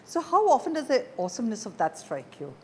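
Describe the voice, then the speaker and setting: A woman's voice: fairly high pitch, big pitch swings, fast talker, slight background noise. A woman with an Indian accent hosting a conversational interview with informal, direct questions. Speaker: interview host.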